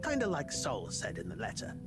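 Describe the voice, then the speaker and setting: A man voicing a friendly, reflective robot buddy in a video game, speaking slowly and gently. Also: on the low side, huge pitch swings. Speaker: game character reading a letter. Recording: game audio with loud music.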